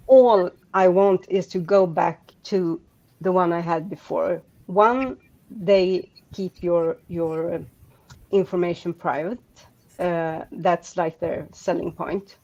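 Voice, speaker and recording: A Swedish-accented woman talks slowly over a muffled, lo-fi call line. Her voice sits fairly low, and she rambles with frequent restarts and fillers. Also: warm tone. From Sweden. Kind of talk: casual group chat.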